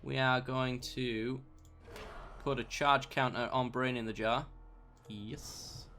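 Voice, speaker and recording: Young gamer, a bit croaky with a sore throat, relaxed let's-play commentator who talks through each move. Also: male voice, mid-range voice, takes it slowly, a little hiss or hum. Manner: thinks aloud, mild self-talk, bursts of mock outrage. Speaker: gameplay commentator.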